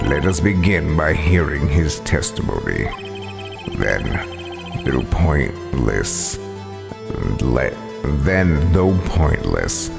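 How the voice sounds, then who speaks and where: A man's voice: extremely low pitch, vocal fry, full character voice. A man voicing a stern, haughty prosecutor in a video game, formal and imperious. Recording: loud game music underneath. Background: video game music.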